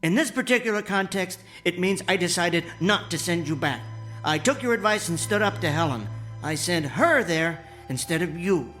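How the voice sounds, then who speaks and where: Earnest, high-voiced henpecked scientist husband. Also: male voice, expressive, moderate pace, pushed and bright, soft background music. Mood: quietly confiding. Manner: earnest, emphatic. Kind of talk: radio drama acting.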